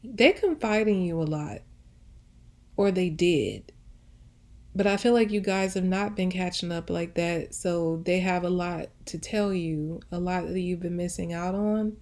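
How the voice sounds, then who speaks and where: A woman's voice: on the low side, measured pace, a little mellow. A woman with a casual, conversational delivery giving an intuitive pick-a-card reading, often circling back to rephrase her thoughts as she goes. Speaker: tarot reader, host.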